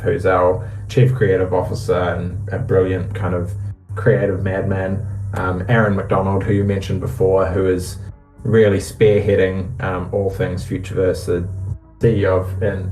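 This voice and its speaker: Relaxed New man, roomy sound. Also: lowish voice. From New Zealand. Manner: relaxed, many ums and restarts. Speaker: guest, company CEO. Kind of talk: casual interview on a voice call. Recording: roomy echo, faint music behind.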